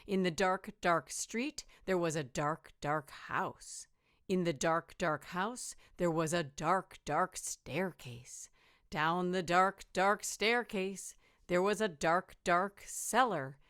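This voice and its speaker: A woman with a slightly low voice narrates a children's storybook. She reads like a teacher to young listeners, deliberate and rhythmic, with plenty of expression. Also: a bit bright. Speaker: teacher reading aloud.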